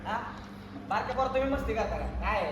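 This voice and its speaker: A man's voice: high voice, lively intonation, fast. A man playing a bossy work-crew foreman who cajoles and commands, in an amateur stage play recorded in an echoey hall. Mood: exasperated, coaxing. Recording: echoey hall from far off, noisy audience.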